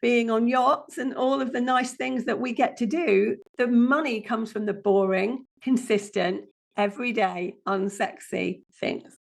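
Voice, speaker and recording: A woman guest with a croaky, chesty voice, speaking bluntly and plainly in a casual interview. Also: fairly high pitch, fairly even intonation, unhurried, few pauses. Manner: blunt, down-to-earth. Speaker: guest, passive income strategist.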